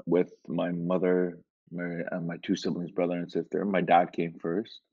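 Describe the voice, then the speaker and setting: A man from Iran, a guest in a casual interview, telling his story in a relaxed, rambling way with lots of filler words. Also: low-pitched, moderate pace. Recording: dull lo-fi sound.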